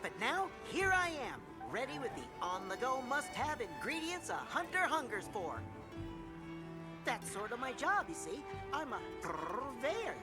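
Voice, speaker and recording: Video game cat purveyor: chipper, eager, flowery, very animated, over clear music. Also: female voice, high-pitched, unhurried. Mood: cheerful, grateful. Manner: chatty, eager, flowery wording. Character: chipper female cat-like food purveyor creature. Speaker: game character.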